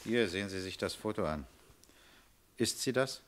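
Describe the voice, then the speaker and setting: An actor playing a calm, pensive police chief inspector, terse and commanding, in a German radio crime drama. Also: male voice, on the low side, normal speed, close to an everyday voice. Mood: calm, probing.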